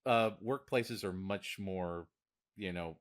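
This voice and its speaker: A man, co-hosting a casual podcast as a diagnostician, often restarting his words and laughing easily. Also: slow. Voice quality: deep voice.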